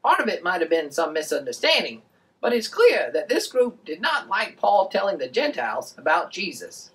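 A woman voicing an excitable, friendly boy puppet, explaining things in an animated way for a children's show. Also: on the low side, moderate pace, distant mic. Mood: earnest.

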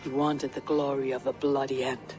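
An actor playing a grim, blunt Viking raider in a game cutscene. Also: male voice, mid-range voice, little pitch movement, music in the background, natural voice. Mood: steady. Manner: blunt, measured. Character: stern male Viking raider, grim and steady. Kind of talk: video game cutscene acting.

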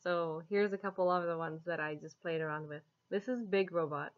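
A woman in her twenties talks casually to camera as she shows off an app, amused and giggly. Her voice sits a little low for a woman, and the sound is dull and lo-fi, like a webcam in a living room. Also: fairly even intonation, fairly quick, very noisy. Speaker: app reviewer. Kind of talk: casual vlog chat to camera. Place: small home living room, indoors.

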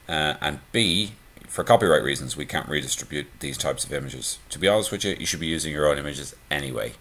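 A man giving a relaxed, conversational screencast walkthrough of a website theme, with frequent "uh" and "um" fillers. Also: extremely low pitch. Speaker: theme tutorial presenter.

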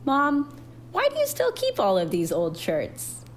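Woman voicing a hesitant, slow-spoken young daughter. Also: high voice, huge pitch swings, a little hiss or hum, voice pushed up, full character voice. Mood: thoughtful. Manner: hesitant, quiet. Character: thoughtful, hesitant, anxious growing daughter.

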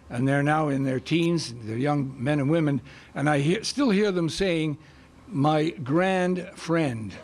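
Older man, loud voice, hesitant, many fillers, slightly high, stand mic, school music room. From Canada. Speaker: guest speaker for seniors. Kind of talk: community meeting speech. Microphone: stand mic close in front.

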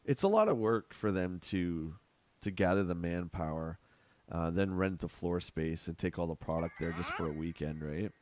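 A man's voice: low voice, expressive, normal speed, darkish tone, slight background noise. A middle-aged man with a Canadian accent, chatting casually with his chat while playing a game on a live stream at home. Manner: rambling, chatty, answers chat.

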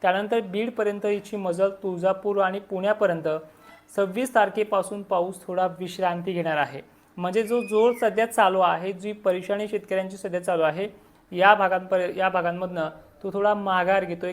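A woman with a Maharashtrian Marathi accent gives an informal weather forecast for farmers, explaining as she lists districts. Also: on the low side. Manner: explanatory, listing districts one after another.

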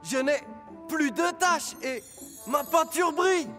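A man's voice: extremely high pitch, animated, takes it slowly, sharp tone, soft background music, heavily acted. A man voicing a scruffy, good-natured young engine in a children's cartoon, with a boyish, earnest manner. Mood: pleased. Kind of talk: dubbed children's cartoon acting.

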